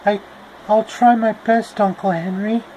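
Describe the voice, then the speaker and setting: A man voicing Dorothy, a timid, worried young girl, speaking in a hesitant, halting way. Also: high-pitched, steady tone, darkish tone, slight background noise, voice pushed up, low-quality recording.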